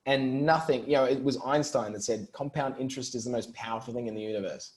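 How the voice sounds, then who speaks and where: A man with an Australian accent and an average-pitched voice speaks as a guest on an interview show. He explains things conversationally and informally, dropping in casual filler phrases. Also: fast talker. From Brisbane, Australia. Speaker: interview guest, marketing entrepreneur.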